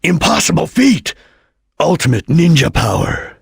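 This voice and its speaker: An actor voicing a serious, disciplined male ninja chameleon, husky and earnest, in a video game. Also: fairly high pitch, big pitch swings, measured pace, no room sound, clean studio sound, full character voice. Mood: alarmed.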